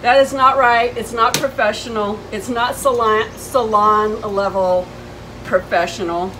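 A middle-aged woman with a fairly high voice tells a personal story in a casual, conversational vlog style. She is indignant and leans hard on her points as she recounts exchanges. The camera stands a few steps away on an outdoor porch, with clearly audible ambient noise. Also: steady tone, a little slow, bright, forceful tone, poor audio. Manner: indignant, emphatic, retelling exchanges. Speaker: vlogger. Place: covered porch outside a house.

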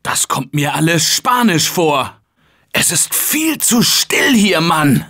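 A man voicing an upbeat office-worker survivor in a video game dub, shouting calls and encouragement to his teammates in an excitable manner. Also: on the high side, slow, heavily acted. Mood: wary. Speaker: game character. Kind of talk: German-dubbed video game voice lines.

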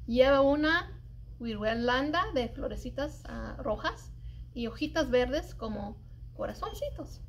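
A middle-aged woman with a notably high voice reads a picture book for children. She takes her time, stretching key words in an expressive storytelling manner. Faint room noise sits behind her. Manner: animated, deliberate storytelling with drawn-out emphasized words. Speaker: library storyteller. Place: indoors in a library children's room. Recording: light background room noise.

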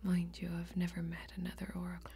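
A young actress plays a wistful warrior woman from a fantasy realm in a soft ASMR role-play. Her voice is low and whispery, with very little rise and fall. She tells her tale slowly and intimately, close to the microphone, pausing often. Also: monotone, heavily acted. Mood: hushed, confiding. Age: young adult. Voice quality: breathy, whispery. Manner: slow, hushed, intimate storytelling with long pauses.

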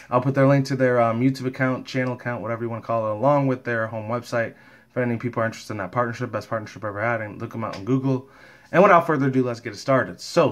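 A man with a medium-pitched voice hosts a casual fan vlog. He rambles through his commentary in loose slang. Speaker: YouTube host and fan comic dubber.

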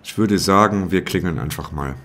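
Man acting as grumpy police inspector, low pitch, gruff, bossy, sarcastic, parody audio drama. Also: normal speed, fairly bright tone. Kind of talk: parody detective audio play.

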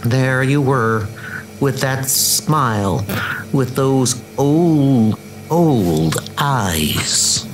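A man's voice: mid-range voice, expressive, drawn-out words, music in the background, poor audio. A man voicing a fussing, emotional older woman in a comic dub, plaintive and scolding. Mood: wistful.

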